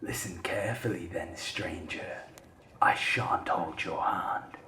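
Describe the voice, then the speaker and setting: Deep, whispering foreboding storyteller. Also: male voice, sharp tone, full character voice. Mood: ominous. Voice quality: breathy, whispery. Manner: slow, theatrical, archaic phrasing. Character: mysterious old storyteller at a campfire, solemn and foreboding. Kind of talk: fantasy audio drama narration. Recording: roomy, echoing voice.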